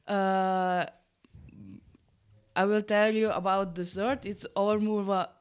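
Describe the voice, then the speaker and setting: Slow, clear-voiced Slovenian woman. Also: mid-range voice, little pitch movement. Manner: halting, simple non-native English. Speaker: teacher being interviewed. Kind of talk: unscripted interview answers.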